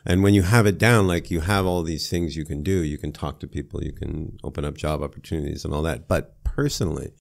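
A man in his thirties from Canada, a language teacher chatting as a podcast guest, filling his speech with "like" and "I mean", recorded in a small studio on a boom-arm mic. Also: steady tone, brisk, gravelly, hardly any pauses. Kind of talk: casual conversation. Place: small studio with foam panels.

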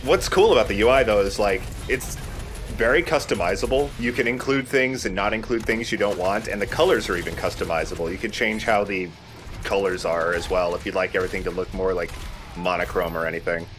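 A man with a fairly low voice gives casual gameplay commentary. He explains things conversationally, with faint music behind him. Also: a little fast. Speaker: let's play host.

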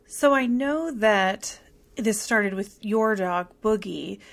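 A woman podcast host introducing and interviewing a guest in a clear, prepared way. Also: mid-range voice, expressive, takes it slowly.

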